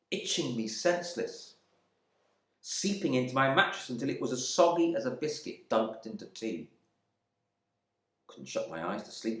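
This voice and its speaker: A man's voice: mid-range voice, close to an everyday voice. A man performing a dramatic monologue as a proud, resentful airman in prison, in clipped, brooding phrases. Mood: indignant, agitated. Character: embittered English RAF airman imprisoned in India, proud and resentful. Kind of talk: dramatic monologue reading from a novel. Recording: echoey room.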